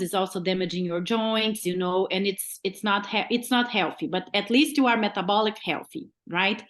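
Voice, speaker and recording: A woman doctor from Brazil with a Brazilian Portuguese accent, a guest on a podcast who explains step by step and keeps checking in with "right?". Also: mid-range voice. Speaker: guest physician.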